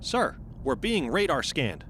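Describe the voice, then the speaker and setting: A man's voice: fairly high pitch, big pitch swings, a little slow, a bit bright, a little hiss or hum. An actor playing a dutiful spaceship radio operator, reporting deferentially to his superior in an old-time radio sci-fi play.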